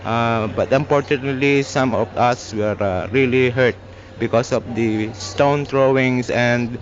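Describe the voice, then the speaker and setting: A man with a Filipino accent answering an interview in a halting, formal and wordy manner. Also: mid-range voice, fairly even intonation. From Philippines. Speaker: political march participant being interviewed. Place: outdoors at a march rest stop. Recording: muffled archive field recording.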